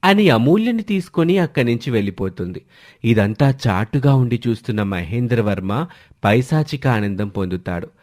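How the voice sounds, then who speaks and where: A man's voice: mid-range voice, very animated, moderate pace, a little mellow. A man narrating a scripted dramatic family story as a storyteller.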